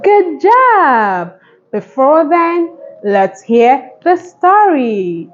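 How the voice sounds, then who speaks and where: A woman's voice: sits high, very animated, drawn-out words, dull audio, soft background music. A woman hosting a phonics lesson for young children, speaking in an encouraging, sing-song manner with simple repeated instructions. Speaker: phonics teacher and channel host.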